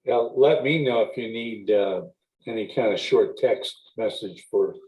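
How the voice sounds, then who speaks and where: A man at a local board meeting, speaking hesitantly with frequent pauses as he asks questions. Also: on the low side, a little mellow. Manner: hesitant, lots of pauses and fillers. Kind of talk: casual meeting discussion. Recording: tinny phone-like line.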